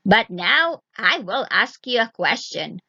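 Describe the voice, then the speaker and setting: Slow, menacing old witch voiced by a woman. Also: a little high, very animated, vocal fry, pushed and bright, breathy edge, dry recording, highs cut off, exaggerated voice. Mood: sly, probing. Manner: slow, drawn-out, imperious delivery. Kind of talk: children's folk tale reading.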